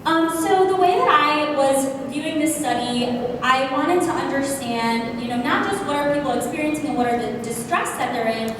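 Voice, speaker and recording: A woman presenting her research at a conference, earnest and full of fillers, with a voice she calls loud. She is heard in an echoey hall. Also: high-pitched, normal speed. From United States. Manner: earnest, many fillers, self-aware about loud voice. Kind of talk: academic conference talk. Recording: echoey hall from far off, noisy.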